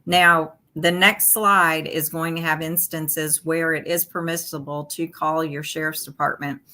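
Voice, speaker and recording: A woman with a low-pitched, bright, forceful voice. She is stuffed up from allergies and explains rules in a steady, methodical way. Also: a little slow. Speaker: guest. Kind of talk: election talk show discussion.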